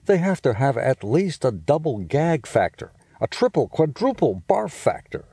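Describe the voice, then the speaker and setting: A man's voice: a little high, big pitch swings, normal speed, dark tone, husky, no room sound, studio quality, pitched above the performer's own voice, exaggerated voice. A man voicing a stubborn, indignant little boy with a raised, boyish voice and an earnest manner.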